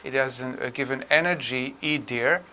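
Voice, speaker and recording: An older man delivers a technical tutorial. His voice is bright and crisp-edged at a middling pitch. He speaks in a measured, halting way, often pausing between phrases. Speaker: lecturer. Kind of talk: tutorial lecture on room acoustics.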